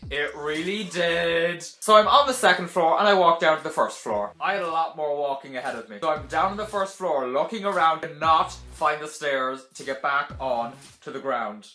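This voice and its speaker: A young man telling a casual vlog story to camera in a dramatic, animated way, with sarcastic, self-deprecating asides. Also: on the high side, moderate pace, few pauses. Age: young adult. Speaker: video blogger telling a personal story. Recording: roomy echo.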